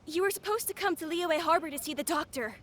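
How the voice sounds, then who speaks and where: A woman's voice: very high voice, lively intonation, fast, slight background noise. A woman voicing a worried little boy in a video game, who speaks earnestly and politely. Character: worried little boy looking for his dad. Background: soft game music.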